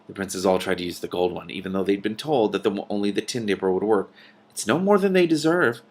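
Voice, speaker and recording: A man's voice: fairly low pitch, expressive, a little fast, darkish tone. A man voicing a matter-of-fact female dragon from a storybook, explaining things with dry, scornful authority.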